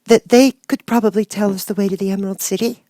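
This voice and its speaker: A man doing a high-voiced earnest little girl. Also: fairly even intonation, quick pace, dark tone, dry recording, studio quality, natural voice. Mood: hopeful, earnest. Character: earnest, curious young girl adventurer. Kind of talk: audiobook character voice.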